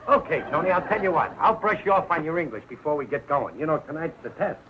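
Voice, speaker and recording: A man's voice: fairly high pitch, quick pace, natural voice. An actor playing a blustering, good-hearted working-class family man with a slangy American accent in a vintage sitcom. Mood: chummy, confident. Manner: slangy, plain-spoken. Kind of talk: 1940s television sitcom acting. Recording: muffled, hissy old 1940s broadcast.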